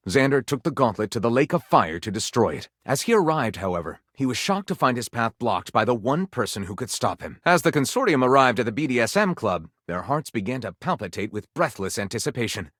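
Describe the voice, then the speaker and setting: A man's voice: mid-range voice, a bit bright, no room sound. A flamboyant storyteller in a video game, relishing every lurid line. His middle-range voice swoops dramatically up and down with florid, suspenseful phrasing. The sound is very dry, with no room around it. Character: flamboyant, melodramatic male storyteller relishing lurid pulp tales. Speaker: voice actor playing a storyteller character. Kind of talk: theatrical scripted narration of a racy adventure story.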